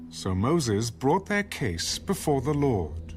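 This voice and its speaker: A man's voice: mid-range voice, big pitch swings, slow, slight background noise. A man playing a formal, solemn narrator in a dramatized audio Bible. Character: formal male narrator of scripture. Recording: music bed under the voice.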